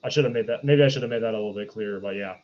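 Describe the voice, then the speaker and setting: A man gives a casual movie recap as a podcast co-host. He feels his way through it, halting and correcting himself. The sound is a little dull and lo-fi. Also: on the low side, a loud crowd.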